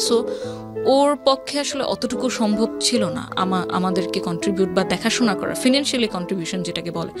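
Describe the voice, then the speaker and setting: Higher-voiced Bangladeshi woman over music. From Old Dhaka, Bangladesh. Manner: mixes English words into speech. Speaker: interview guest telling life story. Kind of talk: conversational personal storytelling. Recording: music playing behind the voice. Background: background music.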